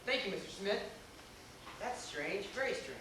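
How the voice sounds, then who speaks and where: A man playing a worldly, drily amused know-it-all gentleman in an amateur stage comedy, speaking in a glib, theatrically formal way. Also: sits high, animated, unhurried. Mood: smooth, amused. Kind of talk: amateur stage acting in a comic one-act play. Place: small theater stage, recorded from the audience. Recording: echoey theater, distant mic, noticeable noise.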